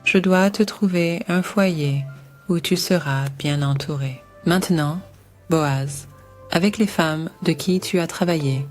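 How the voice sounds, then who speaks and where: A woman playing an older, devout mother-in-law who gives caring, measured advice in an animated Bible story. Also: sits low, soft background music. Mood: calm, instructing.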